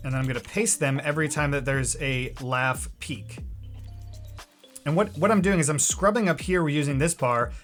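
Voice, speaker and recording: A man hosting a software tutorial in an informal, joking way, talking casually to his viewers. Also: medium pitch. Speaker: host of a video editing tutorial series.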